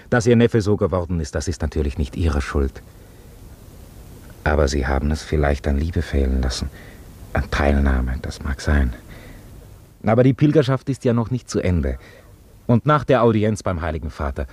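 Husky, deep-voiced chaplain. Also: male voice, a little mellow, heavily acted. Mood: calm, consoling. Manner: earnest, probing, pastoral. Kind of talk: radio play acting.

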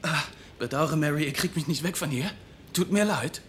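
A man's voice: fairly high pitch, moderate pace, a bit bright, slight background noise, close to an everyday voice. An actor playing an obsessed, agitated male computer addict in a German radio play, defiant and overwrought. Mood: defiant, rapt.

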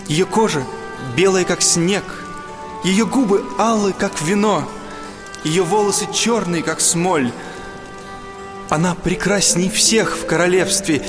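High-voiced, courtly young prince. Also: male voice. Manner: formal, courtly. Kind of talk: audio fairy-tale play acting. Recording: long hall reverb, loud music.

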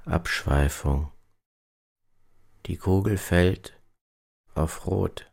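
Very deep, slow male announcer. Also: vocal fry, a little mellow. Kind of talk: scripted radio announcement.

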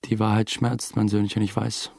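A man's voice: lowish voice, little pitch movement, normal speed, gravelly, a little mellow, dry recording, clean studio sound. A young man acting as the earnest, reflective first-person narrator of a radio drama, a new father. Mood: tender, reflective. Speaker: narrator and lead character.

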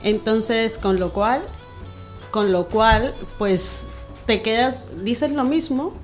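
A woman from Colombia chatting on a radio panel, lively and laughing often. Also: on the high side, slow, soft background music. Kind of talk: casual radio chat.

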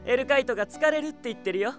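Kind young anime prince, voice swings a lot, polite, music under it. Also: female voice, high-pitched, animated, racing. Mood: light, teasing. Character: gentle, burdened teenage boy prince, courteous and kind. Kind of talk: Japanese anime audio drama acting.

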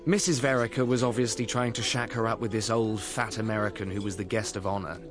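A man's voice: lowish voice, steady tone, fast, natural voice. Earnest young Englishman with music underneath acted. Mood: smitten, excited. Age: young adult. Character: earnest, lovestruck, restless young Englishman, aspiring composer. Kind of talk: radio drama acting.

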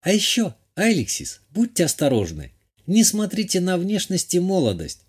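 A man acts out a young countess from an audiobook novel, pitched up. She is genteel and coquettish, socially shrewd and poised. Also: wildly swooping pitch, moderate pace, husky, heavily acted. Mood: warning.

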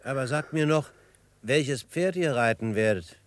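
A male actor plays a confident young frontiersman in a radio play. He speaks at a medium pitch, composed and measured, with a gentle teasing edge. The recording is dry and close. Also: animated, no room sound, lightly acted. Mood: calm. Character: calm, confident young greenhorn frontiersman with writing ambitions. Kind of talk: German radio drama acting.

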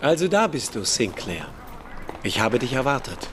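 An actor playing a calm, prophetic young man who speaks solemnly and with assurance in a German radio play. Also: mid-range voice, normal speed, background noise, natural voice. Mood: calm, welcoming.

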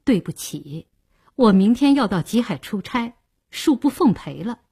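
A woman playing a cold, sarcastic, fed-up partner with a breathy, husky voice and curt, biting delivery in an audiobook. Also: fairly high pitch, big pitch swings, normal speed, a little mellow. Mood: cold anger. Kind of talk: dramatised audiobook dialogue.

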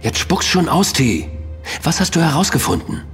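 Animated, excitable male investigator. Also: fairly high pitch, moderate pace. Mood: impatient. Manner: expressive, emphatic. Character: excitable, impatient male investigator, eager and knowledgeable. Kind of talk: German audio drama acting. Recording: reverberant hall with music underneath.

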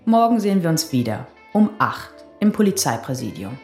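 A woman's voice: deep voice, sing-song, normal speed, darkish tone, reverberant, soft background music. An actress playing a blunt, provocative female police detective in a German radio crime drama, needling with dry irony. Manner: blunt, needling, dryly ironic.

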